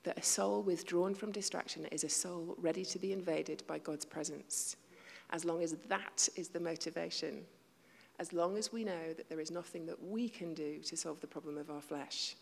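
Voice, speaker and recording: A woman with a fairly low, gravelly voice preaches to her congregation. She talks in a conversational way, pokes fun at herself, and sometimes drops into a near-whisper. The sound rings out with a long reverb, as in a big church hall. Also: fairly even intonation, normal speed, slight background noise. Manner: conversational, self-deprecating, with hushed asides. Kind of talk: sermon.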